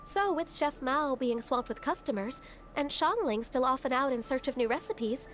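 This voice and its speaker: A woman voicing a gentle, earnest, dutiful young woman in a video game, speaking politely and with care. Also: sits high, animated, soft background music. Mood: reassuring. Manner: polite, measured, careful.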